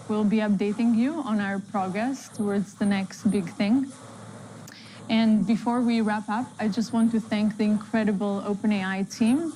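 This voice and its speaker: A woman's voice: medium pitch, dark tone, a little hiss or hum, low-quality recording. A woman presenting a live product demo in a conversational style, as its host. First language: Italian speaker. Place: live staged demo before an online audience.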